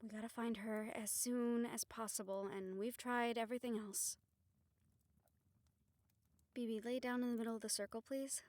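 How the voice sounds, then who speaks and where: A woman playing a calm, reassuring witch who leads a ritual in an audio roleplay, steady and encouraging as she gives instructions. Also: on the high side, moderate pace, long pauses, dry recording, natural voice. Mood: earnest, focused. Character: calm, reassuring female witch leading a soul-bond ritual.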